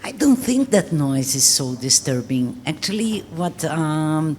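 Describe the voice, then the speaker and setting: A woman, a Portuguese speaker, giving an earnest panel talk in English with many pauses. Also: low voice, very animated, darkish tone, a little hiss or hum. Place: art gallery talk before an audience.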